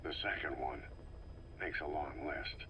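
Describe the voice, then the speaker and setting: Very deep, gruff soldier. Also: male voice, a little slow, background noise. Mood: terse, flat. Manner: terse, deadpan. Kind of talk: scripted video game dialogue.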